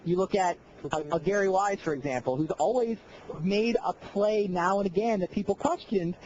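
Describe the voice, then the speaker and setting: A man doing energetic live play-by-play commentary on a card game, counting damage aloud, on a slightly dull broadcast recording. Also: high-pitched, normal speed.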